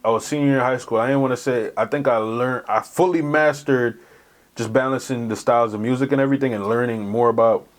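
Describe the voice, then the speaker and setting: A young man from Jamaica, a DJ guest, telling his story in a relaxed, easygoing way. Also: mid-range voice, a little fast. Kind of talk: casual interview.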